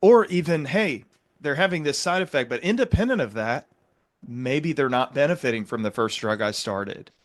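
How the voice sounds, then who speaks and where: A man's voice: mid-range voice, expressive, moderate pace, dry recording, clean studio sound. A man hosting an interview, explaining and asking questions conversationally. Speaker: interview host and medication prescriber.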